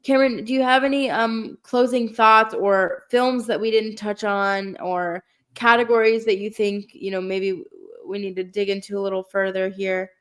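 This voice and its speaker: A woman's voice: medium pitch, steady tone, moderate pace, fairly bright tone. A woman hosting a casual film podcast, talking in an easy chat with many fillers, her voice nasal from a cold. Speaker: podcast host.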